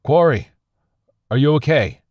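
A man's voice: lowish voice, moderate pace, dry recording, highs cut off, voice pushed up, natural voice. A man voicing an injured, disoriented crash survivor in a narrated story, questioning urgently and in bewilderment. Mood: dazed, calling out.

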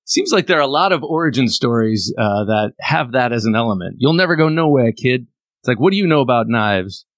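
A man's voice: mid-range voice, expressive, a little fast, no room sound. Podcast host man, dry close sound. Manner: friendly, curious, loose questioning. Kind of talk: casual interview questions.

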